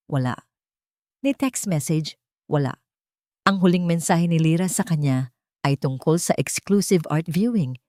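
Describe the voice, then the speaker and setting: A woman's voice: low-pitched, dark tone, no room sound, clean studio sound. A woman narrating a scripted romantic drama story in a dramatic storytelling style.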